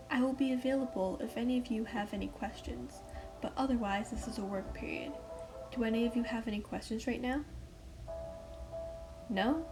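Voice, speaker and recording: A woman playing a patient but firm, sometimes frustrated schoolteacher in a radio play, speaking in a measured, polite way. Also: a little high, natural voice. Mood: instructive. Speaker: actor and narrator in a radio play. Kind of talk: first-person radio drama narration and dialogue.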